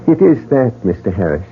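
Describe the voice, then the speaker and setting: A man playing a nervous, self-confessed coward at a gentlemen's club in an old radio drama. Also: medium pitch, heavily acted. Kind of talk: 1940s radio drama acting. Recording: phone-like old radio broadcast.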